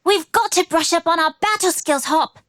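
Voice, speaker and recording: A woman's voice: very high-pitched, normal speed, fairly bright tone. A woman playing a spirited, competitive young girl trainer in video game voice lines, cocky and challenging. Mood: feisty, determined.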